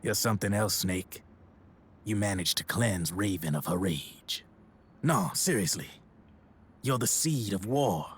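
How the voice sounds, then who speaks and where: An actor playing a slick, cynical, streetwise arms dealer in a video game, husky and slyly familiar. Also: male voice, fairly low pitch, expressive, measured pace, pauses often, exaggerated voice. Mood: sly, admiring. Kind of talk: dramatic video game cutscene acting.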